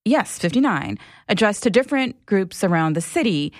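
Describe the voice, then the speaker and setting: An actress playing a hesitant, deferential researcher who explains findings in a halting way in an audio drama. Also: female voice, mid-range voice, very animated, dry recording, clean studio sound, close to an everyday voice. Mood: explanatory.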